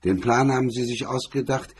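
A man voicing a grim, blunt, cynical gunman with a husky voice in a crime audiobook. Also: medium pitch, normal speed, voice pushed up. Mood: urgent, blunt.

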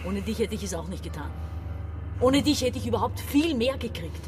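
A woman's voice: a little high, expressive, a little fast, over music, close to an everyday voice. An actress playing an embittered, domineering woman around forty with an Austrian accent, emphatic and reproachful in a radio drama. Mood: irritated, accusing. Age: 40s. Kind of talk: German-language radio drama.

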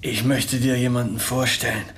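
A man's voice: mid-range voice, flat delivery, moderate pace, fairly bright tone, slight background noise, close to an everyday voice. A man acting in a radio crime drama as a smug, jovial, crooked businessman with a wry, self-satisfied manner.

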